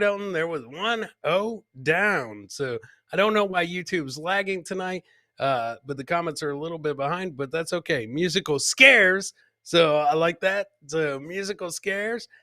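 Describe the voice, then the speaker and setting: A man's voice: high voice, pushed and bright, dry recording, studio quality. A man hosting a live comedy clip show, joking and teasing as he comments on videos and repeats his punchlines.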